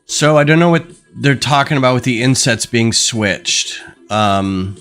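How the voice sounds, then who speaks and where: A man's voice: medium pitch, lively intonation, measured pace, fairly bright tone, soft background music. Stuffy-nosed man rambling on a stream. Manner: rambling, thinking aloud with many ums. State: stuffed-up nose. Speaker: LEGO designer streaming. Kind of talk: casual livestream chat. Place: home desk. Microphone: desk microphone.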